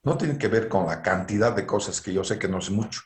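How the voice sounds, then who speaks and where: A man's voice: fairly low pitch, a little fast, roomy sound. A middle-aged man with a Bolivian Spanish accent, giving an online university engineering lecture and often checking in with rhetorical questions. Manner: lecturing, frequent rhetorical "¿no es cierto?" tags. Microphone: video call.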